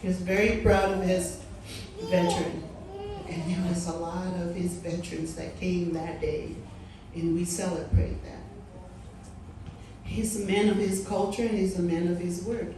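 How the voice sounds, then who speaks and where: Slow, heartfelt woman in a hall. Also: lowish voice, fairly even intonation, noisy background. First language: Samoan. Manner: slow, heartfelt, emphatic delivery. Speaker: community leader giving a tribute. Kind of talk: public tribute speech. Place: large echoey hall. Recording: echoey hall from far off.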